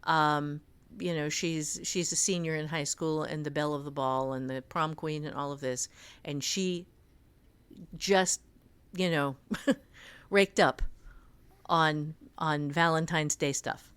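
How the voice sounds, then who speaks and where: A woman's voice: sits low, fairly even intonation, takes it slowly, long pauses, fairly bright tone, clean tone. A middle-aged woman co-hosting a podcast, teaching writing in an informal, explanatory way. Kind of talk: casual instructional discussion.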